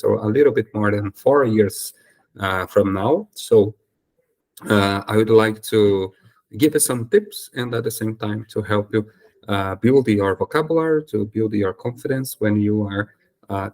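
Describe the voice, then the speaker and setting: Gravelly low-voiced Brazilian man. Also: a little mellow. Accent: Brazilian Portuguese. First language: Portuguese. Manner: hesitant, many fillers and self-corrections. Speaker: YouTuber and English learner. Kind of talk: casual solo talk to camera.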